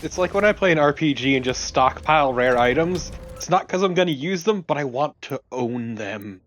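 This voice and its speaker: A man's voice: on the high side, animated, normal speed, fairly bright tone. A man co-commentating on a video game in a casual, wry, joking way. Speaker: let's play co-commentator. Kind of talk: casual gameplay commentary chat. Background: video game music.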